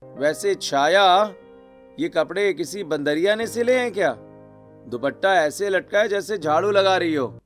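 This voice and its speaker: A man's voice: high-pitched, expressive, a little slow, soft background music. A man voicing a teasing, playful young brother-in-law in a family cartoon.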